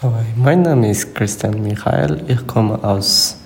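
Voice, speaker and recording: A man from Eritrea, answering an interview haltingly and searching for words. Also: on the low side, creaky, slight background noise. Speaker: interviewee, language learner.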